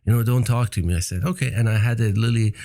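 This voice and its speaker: Deep-voiced man with a Persian accent. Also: quick pace. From Iran. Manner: animated storyteller, acts out quoted voices. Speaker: entrepreneur guest. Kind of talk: casual storytelling interview.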